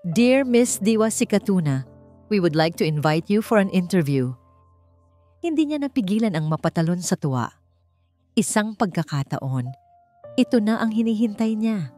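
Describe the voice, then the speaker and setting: A woman's voice: lowish voice, a little mellow. A woman with a Filipino accent reads a scripted romance story as a dramatic narrator. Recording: music under the voice. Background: background music.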